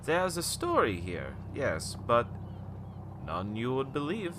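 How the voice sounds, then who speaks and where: A cynical old townsman in a radio drama, cryptic and knowing. His pitch swings wildly as he spins his tale slowly, over a faint background noise. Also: huge pitch swings. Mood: wary, cryptic. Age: older. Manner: cryptic, theatrical, rambling storytelling with knowing chuckles. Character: wary, cynical old male townsman, former shopkeeper, storyteller. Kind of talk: radio play acting.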